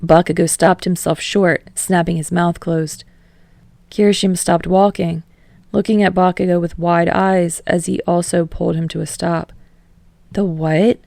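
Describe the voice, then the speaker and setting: Woman narrating a story, kinda low voice w lots of fry, really dry recording. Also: flat delivery, moderate pace, a little mellow, dry recording. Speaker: audiobook narrator. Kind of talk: scripted fiction narration.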